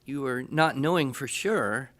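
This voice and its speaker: A middle-aged man with a medium-pitched voice hosts a podcast conversation. He speaks in deliberate phrases with pauses, in an informal interviewing style. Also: a bit bright.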